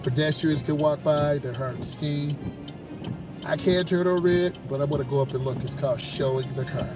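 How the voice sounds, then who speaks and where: Stuffed-up driving instructor talking in traffic. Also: male voice, a little high. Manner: calm, unhurried, explains each move as he drives. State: congested, stuffed-up nose. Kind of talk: casual narration while driving. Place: inside a car in traffic. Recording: distant in-car mic over loud music. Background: loud music.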